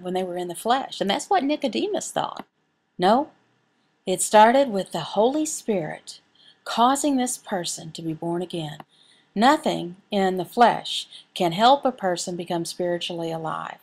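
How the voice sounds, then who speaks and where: A woman delivers a sermon as a voice interpreter. Her voice sits at an average pitch and has a clear creak in it. She moves earnestly from phrase to phrase, pausing between them as she reads and explains scripture. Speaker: voice interpreter for a signed Bible teaching.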